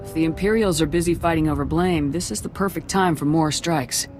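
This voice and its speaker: Man playing higher-voiced commanding military captain. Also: brisk, music in the background, lightly acted. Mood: composed. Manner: firm, clipped, commanding. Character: confident, commanding male special forces captain. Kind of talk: video game cutscene acting.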